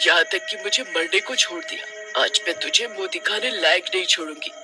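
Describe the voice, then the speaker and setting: A man's voice: high-pitched, normal speed, music in the background, close to an everyday voice. A man voicing a vengeful female ghost witch, a wronged young wife, in a menacing, gloating way for a cartoon horror story. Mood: bitter, threatening.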